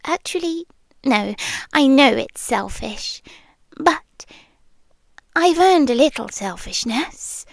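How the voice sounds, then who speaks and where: A woman acts out a possessive, teasing phantom girlfriend with a British accent. Her voice is high and soft, often slipping toward a whisper. She takes her time, lingering in slow, deliberate phrases, very close and dry on the microphone in an intimate ASMR roleplay. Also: lively intonation, gravelly, dry recording, exaggerated voice. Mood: tender, confessing. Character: possessive, teasing yandere girlfriend spirit living in listener's head.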